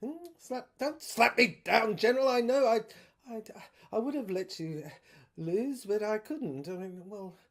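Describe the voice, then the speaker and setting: A woman's voice: mid-range voice, unhurried. A woman playing a genteel, boastful male ex-barrister in an audio drama, who rambles deferentially and keeps correcting himself. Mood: hesitant, boastful. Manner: hesitant, digressive, self-correcting. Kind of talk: serial audio drama acting.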